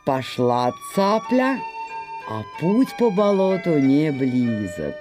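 A woman telling a scripted folk tale in an expressive, folksy, measured storytelling manner. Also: deep voice, music in the background.

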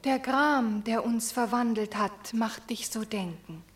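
An actress playing the grieving, devoted wife of a Roman warrior in a classical verse radio drama. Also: female voice, on the high side, a little slow, close to an everyday voice. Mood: sorrowful.

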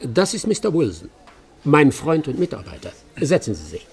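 A stern English detective in a radio play, with a husky, gravel-edged voice pitched a little high. His delivery moves widely in pitch yet stays dry, terse and confident. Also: wildly swooping pitch, moderate pace, full character voice. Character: stern, self-assured English master detective. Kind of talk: German radio play acting.

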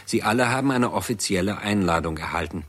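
A man giving sober, measured scripted narration for a 1960s German radio drama. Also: fairly low pitch, lively intonation.

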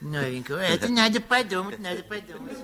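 Kindly eccentric pharmacist, swooping intonation, fussy stammer, repeated words, vintage radio play, music in the recording. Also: male voice, high voice, big pitch swings, fairly quick. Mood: fussy, evasive. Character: kindly excitable male pharmacist, eccentric good-hearted schemer. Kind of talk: 1960s Soviet radio play acting. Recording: old radio drama with music.

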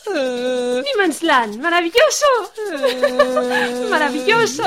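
Shrill, wildly swooping jingle voice. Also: female voice, very animated, slow, heavily acted. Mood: excited. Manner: exclamatory, repeating single words. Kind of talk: radio station jingle. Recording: music and loud noise behind.

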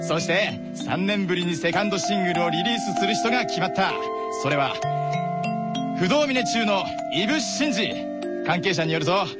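A man playing a cocky, brash teenage tennis player who presents radio news in rough boyish speech. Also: sits high. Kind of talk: scripted anime radio news segment. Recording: loud music under the voice.

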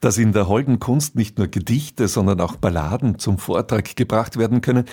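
A man's voice: on the low side, mellow, breathy edge. A man presenting scripted programme announcements on the radio, composed and articulate.

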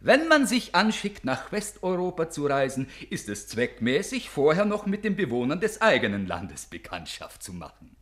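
An exuberant middle-class husband in a radio play. His voice sits fairly high and swings widely, with a boisterous, grandly oratorical delivery. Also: huge pitch swings, normal speed, few pauses. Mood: grandly playful. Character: exuberant, giddy husband and father, Russian civil servant. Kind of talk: German radio play acting.

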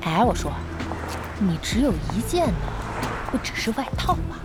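An actress playing a bossy, warm-hearted, chatty young woman who runs an internet cafe in a radio drama, brisk and expressive. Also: on the high side, over loud music. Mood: playful, teasing.

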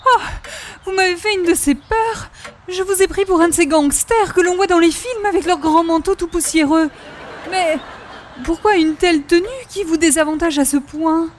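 An extremely high-pitched, romantic middle-aged busybody, effusive and theatrical. She gushes in prim, flowery phrases in the manner of old radio comedy. There is faint noise behind the voice. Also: moderate pace. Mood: startled, fussing. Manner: gushing, dramatic, prim, flowery.

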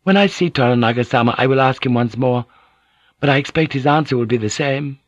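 A poised noblewoman of old Japan, as a male narrator plays her in a historical audiobook. The voice is lifted in pitch and very dry, with formal, restrained courtesy. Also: a little fast, no room sound, lo-fi sound, natural voice. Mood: polite, regretful.

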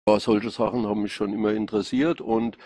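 A middle-aged man with a Rhine-Hessian accent, part Hessian and part Palatine, speaking informally and haltingly as a political candidate answering questions. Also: lowish voice, level tone, mellow, no room sound. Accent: Rhine-Hessian, mixing Hessian and Palatine. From Rhine-Hesse, Germany. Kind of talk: informal spontaneous self-presentation. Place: online voice chat.

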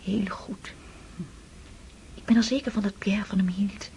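An actress as a softly whispering young woman. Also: mid-range voice, fairly even intonation, a little slow, a little hiss or hum, close to an everyday voice. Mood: tender. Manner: hushed, half-whispered, intimate. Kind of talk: radio drama acting.

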